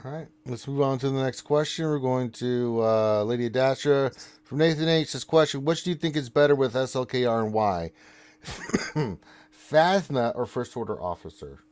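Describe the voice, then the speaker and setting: A man hosting a live Q&A, briskly reading out viewer questions and moving the panel along. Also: medium pitch, a little mellow, dry recording. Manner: brisk, reads questions aloud. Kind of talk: live Q&A moderation.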